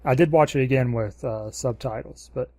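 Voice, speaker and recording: A man chatting casually as a podcast co-host, low-key and noncommittal, hesitating as he searches for words. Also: normal speed, dark tone, no room sound, clean studio sound. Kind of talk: casual chat.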